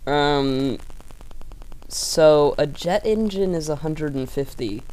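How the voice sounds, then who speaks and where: A woman in casual voice chat, halting and wry, throwing out trivia facts with many restarts. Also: sits low, animated, dark tone. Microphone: headset or desk mic over voice chat. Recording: faint crackly mic noise.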